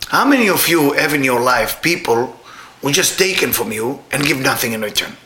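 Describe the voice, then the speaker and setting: Animated Israeli man lecturing in a roomy hall. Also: moderate pace, a little hiss or hum. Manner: animated, leaps high to act out quoted people, non-native grammar. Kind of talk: spiritual lecture to a live audience.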